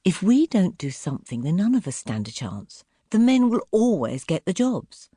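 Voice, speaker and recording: A woman's voice: on the low side, big pitch swings, normal speed, mellow, husky, no echo, studio quality, voice pushed up. A woman narrator voicing an outraged young female window dresser in an audiobook, urgent and hissing with indignation. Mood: withering, insistent. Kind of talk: audiobook character dialogue.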